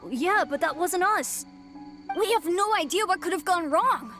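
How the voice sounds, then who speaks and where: A woman's voice: extremely high pitch, expressive, a little slow, fairly bright tone, faint music behind, full character voice. A woman voicing a small, anxious, childlike spirit in a video game. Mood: defensive.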